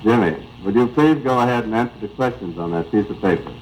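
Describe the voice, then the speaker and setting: A man's voice: on the low side, fast talker, a little mellow, a little hiss or hum. An American man interviewing an athlete with scripted banter on a 1930s radio broadcast, slightly distorted.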